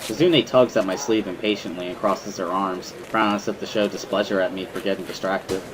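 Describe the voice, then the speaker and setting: A man's voice: deep voice, steady tone, brisk, crowd noise, rough recording. A man reading a visual novel as first-person dramatic narration, in an earnest, brooding storytelling manner. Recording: music behind the voice.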